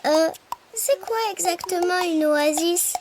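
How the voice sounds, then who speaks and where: A woman's voice: extremely high pitch, slow pace, very noisy. A woman voicing a small, cheeky, impatient female character in a children's cartoon, with an exclamatory, teasing delivery. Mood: excited, impatient. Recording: music under the voice.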